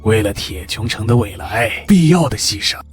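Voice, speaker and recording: An actor playing a ruthless military subordinate in an animated drama, scheming with cold, deferential calculation. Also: male voice, lowish voice, normal speed, soft background music, natural voice. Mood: persuasive. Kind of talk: dramatic dubbed animated-comic dialogue.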